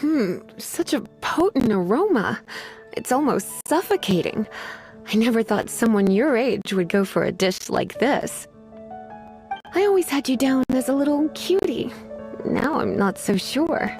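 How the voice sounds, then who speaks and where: Sultry teasing librarian lady for a video game, drawly, high lilting voice, music underneath. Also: animated, measured pace. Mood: teasing, flirtatious. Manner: sultry, drawling, sighing delivery.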